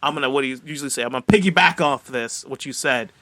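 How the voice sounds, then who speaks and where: A man's voice: medium pitch, fast, sharp tone. A man co-hosting a podcast in casual film talk, with a theatrical manner and a habit of repeating himself as he builds to a point. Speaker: podcast co-host. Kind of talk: casual chat about films.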